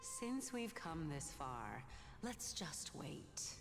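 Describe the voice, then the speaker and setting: A solemn, poetic game character. Her voice sits a little low and moves slowly, with lyrical theatrical phrasing. Music plays clearly behind her. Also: very animated, heavily acted. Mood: calm, patient. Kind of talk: scripted video game voice acting. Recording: prominent music under the voice.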